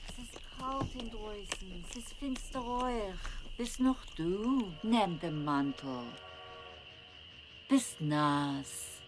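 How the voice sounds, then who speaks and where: Nagging village lady in a folk tale cartoon, slow fussy muttering, bit of background noise. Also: mid-range voice, animated. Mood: fussing.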